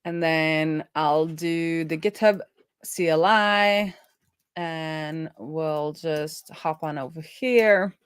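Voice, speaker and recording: Woman live coding on stream, slightly low voice, chatty and thinking out loud, dry close sound. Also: very slow, smooth, no echo. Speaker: host of a coding livestream. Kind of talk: casual live coding chat, thinking aloud.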